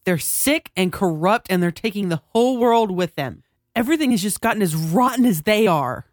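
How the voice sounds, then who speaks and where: A man voicing a tall, bitter young woman in a soft, tense, resentful voice. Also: sits high, expressive, moderate pace, dry recording, studio quality, voice pushed up.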